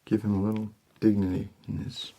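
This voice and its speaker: A man playing a laid-back, shady homicide detective in an ASMR roleplay, halting, rambling and deadpan. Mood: deadpan, casual. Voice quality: low, creaky.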